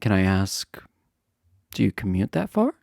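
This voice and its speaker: Casting: male actor as popular college guy, chatty and teasing, easygoing flirt, unhurried delivery with lively pitch swings, romantic audio roleplay. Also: gravelly, no echo, clean studio sound, heavily acted. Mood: friendly, open. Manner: chatty, teasing, hesitant filler-laden casual phrasing. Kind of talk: romantic roleplay audio acting, casual intimate chat.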